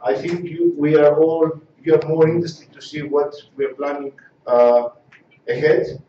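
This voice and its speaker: A man's voice: a little high, little pitch movement, normal speed. A man taking over a corporate presentation, speaking with hesitant starts. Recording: echoey hall, distant dull mic, faint noise.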